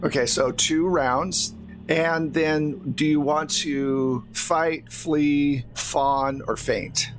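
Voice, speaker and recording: A man's voice: on the high side, fairly even intonation, drawn-out words, noisy background. A man acting as game master, casually narrating a tabletop roleplaying game.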